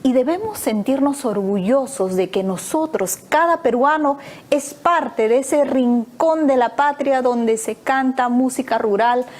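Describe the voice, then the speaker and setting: A woman's voice: high-pitched, a little slow, darkish tone. A woman hosting a talk show, articulate and opinionated, listing ideas and using frequent fillers as she speaks.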